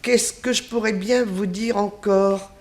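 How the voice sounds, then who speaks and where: An actress in a 1960s French radio detective drama playing a kindly, talkative old former nanny, with a homely, fondly reminiscing manner. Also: female voice, medium pitch, fairly even intonation, measured pace, darkish tone, close to an everyday voice. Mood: chatty, proud. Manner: homely, reminiscing, sighing exclamations.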